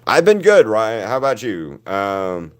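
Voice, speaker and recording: A man with a deep voice, chatting casually with viewers while he paints on a livestream. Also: big pitch swings, a little slow, clean tone. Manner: rambling, answers viewers' chat by name. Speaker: artist hosting a livestream.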